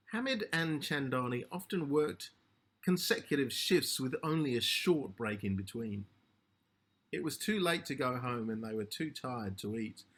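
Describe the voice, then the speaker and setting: A man narrating a literary short story as its author, in measured, deliberate phrases with a slightly husky voice. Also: medium pitch, fairly bright tone.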